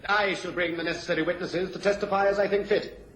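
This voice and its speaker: A pompous defence barrister in a radio play. He declaims in a high-pitched voice, and the sound rings with room echo. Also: fairly even intonation, quick pace, fairly bright tone, slight background noise. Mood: brisk questioning. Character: pompous, theatrical defence barrister addressing a court. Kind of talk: radio drama courtroom oratory. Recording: echoey courtroom sound, radio drama.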